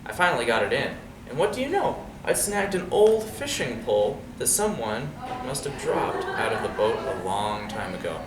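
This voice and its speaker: A man reads a children's story aloud with a very lively, swinging pitch and casual asides to his young listeners. He sounds set back from the microphone in an echoey room. There is clear background noise around his voice. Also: moderate pace, hardly any pauses. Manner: conversational, checks in with young listeners using questions. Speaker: storyteller reading a picture book aloud to children. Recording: roomy, distant mic with clear background noise.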